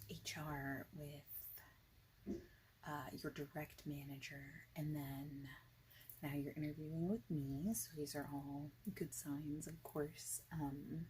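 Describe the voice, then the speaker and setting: A woman playing a friendly, informal boss in an ASMR interview roleplay, speaking softly and haltingly with whispered phrases. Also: low-pitched, noisy background. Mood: casual, welcoming. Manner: halting, casual, soft-spoken, with breathy whispered phrases.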